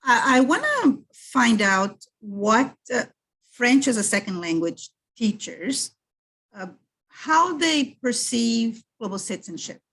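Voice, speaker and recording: Middle-aged woman from Brazil, husky, thoughtful guest who restarts her sentences. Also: a little high, sing-song, takes it slowly, a bit bright, low-quality recording. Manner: thoughtful, with frequent restarts and fillers. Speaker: guest, French teacher and PhD candidate. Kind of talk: casual interview chat.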